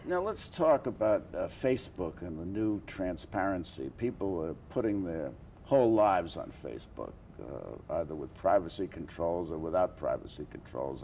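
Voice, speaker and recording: Guy interviewer, real low and mellow, halting phrasing while he asks stuff. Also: vocal fry, slight background noise. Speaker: interview host. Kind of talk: sit-down interview.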